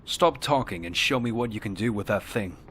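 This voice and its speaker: A man's voice: on the low side, expressive, fairly quick, slight background noise. A man playing a principled warrior hero in a fantasy game, talking bluntly and confrontationally. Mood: blunt challenge. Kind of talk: fantasy game protagonist dialogue. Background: loud music.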